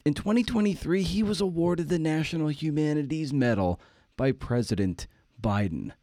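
A man's voice: a little high, moderate pace, dark tone, no echo, clean studio sound. A man hosting a podcast, chatting casually through an introduction in an irreverent, slangy, self-deprecating way. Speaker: podcast host.

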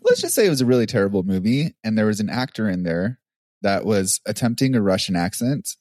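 Man, low voice, lively pitch, joking podcast host, dry close mic. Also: brisk, no room sound. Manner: joking, laughs often. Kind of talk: casual chat.

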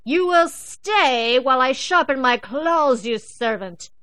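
A woman's voice: a little high, animated, a bit bright, dry recording, studio quality. Woman doing a haughty tyrant cat queen. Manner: imperious, slow and commanding.

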